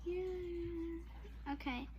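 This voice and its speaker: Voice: very high-pitched, fairly even intonation, drawn-out words, mellow, highs cut off, a little hiss or hum. A child reading a children's chapter book aloud to listeners in an informal way. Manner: informal, apologizes and speaks straight to listeners. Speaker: storybook reader for online viewers.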